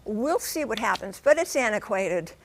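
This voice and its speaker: A woman's voice: medium pitch, expressive, normal speed. A woman reporting as a TV correspondent in an emphatic, folksy manner with rhetorical questions. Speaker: TV transportation correspondent. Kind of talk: broadcast news report with live studio discussion.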